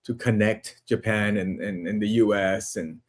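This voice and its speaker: A man's voice: fairly low pitch, steady tone, unhurried. A man hosting a podcast interview, enthusiastic and repeating words as he starts his sentences. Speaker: podcast host. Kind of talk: casual interview talk.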